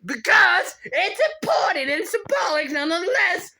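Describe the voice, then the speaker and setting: A very high, bright, squeaky voice rants in a rambling vlog. The agitated complainer exclaims, repeats phrases and stretches words out. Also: male voice, very animated, heavily acted. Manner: exclamatory, repetitive, drawn-out words. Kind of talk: rambling solo rant.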